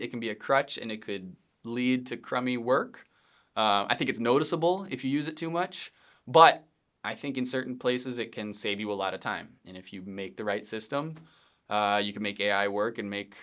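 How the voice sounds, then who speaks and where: Host, male, 30s, from Pennsylvania: relaxed, rambling solo podcast talk with plenty of ums, resonant mid-pitched voice. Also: brisk. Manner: relaxed, rambling, frequent ums and self-corrections, occasional laughs. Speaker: podcast host, video editor. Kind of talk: casual solo podcast intro. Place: home studio space.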